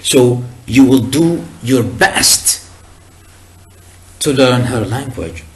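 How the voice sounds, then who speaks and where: A man whose first language is Arabic, giving a recorded lecture in a deliberate, explanatory way with frequent hesitations and restarts. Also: mid-range voice, expressive.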